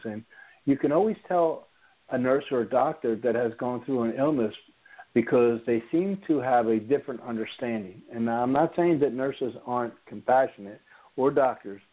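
A man's voice: mid-range voice, phone-quality sound. Man in his sixties hosting radio. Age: 60s. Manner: rambling, chatty, with many fillers. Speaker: radio host.

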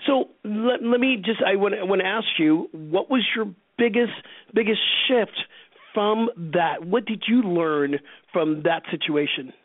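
A man hosting an interview in a reflective, conversational way, halting and repeating words as he builds each thought. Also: high-pitched, animated, no room sound, narrow, tinny audio. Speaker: interviewer, show host.

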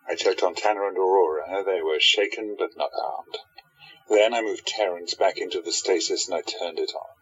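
A man's voice: low voice, moderate pace, a bit bright. An actor playing a protective, devoted hero who narrates and speaks his part in a radio play, earnestly, on dull lo-fi audio. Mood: earnest, urgent. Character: protective, devoted male hero with secret powers. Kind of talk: radio play acting and first-person narration.

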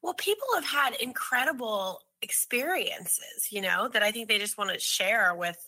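Very bright, lively woman. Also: on the high side, moderate pace. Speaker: podcast co-host. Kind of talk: casual chat.

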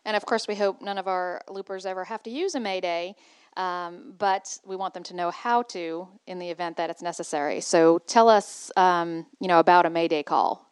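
A woman's voice: fairly low pitch, fairly even intonation, normal speed, clean tone, no room sound. A woman hosting a podcast, speaking conversationally as she interviews and informs listeners. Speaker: podcast host.

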